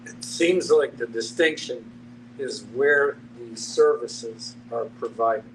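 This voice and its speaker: A man's mid-pitched voice, somewhat far from the microphone with noticeable noise. He muses aloud in a measured, reflective manner as part of a discussion. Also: fairly bright tone. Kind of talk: reflective panel talk. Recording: distant mic with clear background noise.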